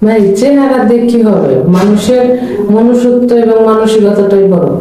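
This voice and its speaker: A woman's voice: a little high, normal speed, warm tone, a little hiss or hum. A young woman from Rajshahi, Bangladesh, answering interview questions in an earnest, firm way and repeating her point. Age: young adult. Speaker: interviewee seeking a marriage partner. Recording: very echoey room.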